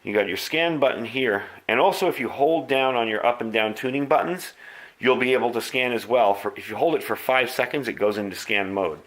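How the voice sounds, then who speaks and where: A man's voice: mid-range voice, brisk, fairly bright tone. Casual American man demonstrating gadgets. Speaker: radio hobbyist reviewing gear. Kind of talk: casual product review and demonstration.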